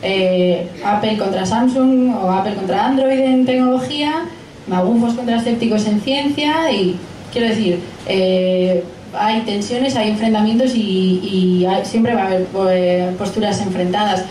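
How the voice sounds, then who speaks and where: A woman's voice: mid-range voice, unhurried, warm tone. Spanish woman in her twenties, echoey room. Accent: Spain Spanish. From Spain. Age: late 20s. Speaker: guest speaker, science and tech journalist. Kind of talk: informal talk and answering audience questions. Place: small pub event room, indoors. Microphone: handheld PA mic, picked up by a camera. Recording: echoey room, distant camera mic, faint noise.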